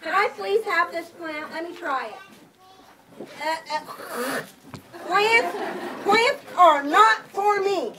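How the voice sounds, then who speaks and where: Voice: extremely high pitch, lively intonation, slow, heavily acted. A puppeteer voicing a polite, eager young meat-eating dinosaur in a children's puppet show. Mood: polite, fussy. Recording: noisy, distant amateur live recording.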